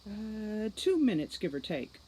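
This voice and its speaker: A woman's voice: mid-range voice, very animated, a little slow, warm tone, breathy edge, pitched above the performer's own voice, low-quality recording. A woman reading a cheeky, smug male centaur tech expert in a storybook, glib and flippant in manner.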